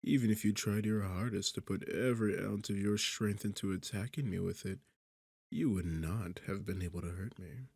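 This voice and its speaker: A man voicing a smug, sarcastic demon king in an ASMR roleplay, with a husky, gravelly low voice and a mocking, theatrical delivery. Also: moderate pace, darkish tone, no room sound. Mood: amused, condescending. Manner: mocking, teasing, condescending, theatrical. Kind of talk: ASMR roleplay audio drama.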